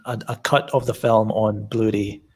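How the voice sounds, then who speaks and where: A man from Scotland with a soft Scottish accent, a guest on a casual online show, who talks hesitantly with many fillers. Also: on the low side, level tone, moderate pace. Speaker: guest panelist.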